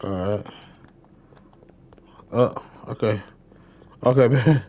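A young man giving casual live gaming commentary, slangy, repeating short phrases excitedly. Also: lowish voice, slow, narrow, tinny audio. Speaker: game streamer.

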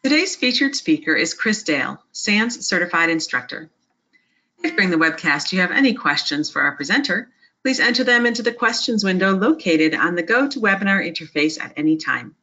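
A woman opens a webinar as moderator, reading her lines in a steady, scripted way. Her voice is lowish but bright and crisp, and the audio comes through dull and lo-fi. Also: fairly quick. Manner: even, read-from-script delivery. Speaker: webcast moderator.

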